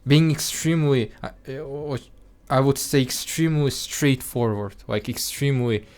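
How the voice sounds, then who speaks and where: A man's voice: medium pitch, a little slow, darkish tone. A man speaking English as a second language with an accent listeners have taken for Russian, talking through a film review in an earnest way, with frequent restarts and filler words. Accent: Russian-sounding non-native English. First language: not English. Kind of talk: casual spoken film review.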